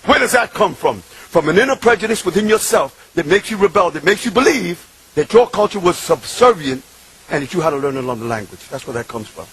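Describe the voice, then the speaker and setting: A man, preaching an impassioned sermon that swings from loud shouting to hushed murmurs. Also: fairly high pitch, big pitch swings, fairly quick, slightly rough, poor audio. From Bronx, New York.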